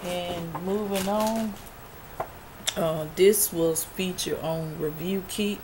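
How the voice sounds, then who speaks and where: A woman's voice: fairly low pitch, steady tone, slow pace. Lady in her 40s chatting thru a haul on a hissy webcam mic, lots of ums, kinda losing her voice. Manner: chatty, rambling, many ums and restarts. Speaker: product review vlogger. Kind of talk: casual show-and-tell haul video. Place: small dim bedroom, indoors. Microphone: built-in webcam mic at arm's length. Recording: noisy webcam recording.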